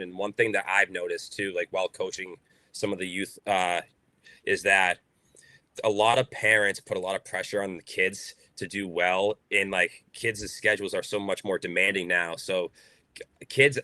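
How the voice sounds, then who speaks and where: A man's voice: sits low, pushed and bright, no echo. A young man from Boston with a Boston accent, a baseball player talking casually in a podcast interview, outspoken and full of fillers.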